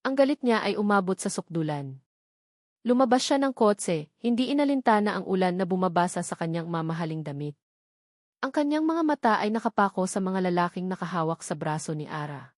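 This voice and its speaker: A woman's voice: fairly low pitch, big pitch swings, a little fast, no room sound, studio quality. A woman narrating a scripted romance drama in an emotive, suspenseful storytelling style.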